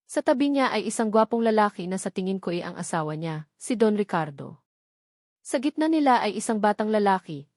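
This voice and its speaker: A woman narrates a scripted drama in the first person. Her voice sits at a middling pitch. The recording is dry, with hardly any room sound. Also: darkish tone, no room sound. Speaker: story narrator.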